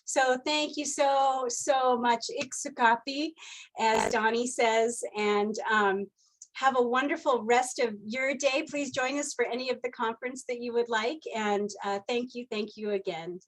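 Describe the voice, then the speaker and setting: A woman's voice: a little high, unhurried, low-quality recording. A woman hosting a conference who graciously and effusively thanks the opening speaker. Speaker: conference host.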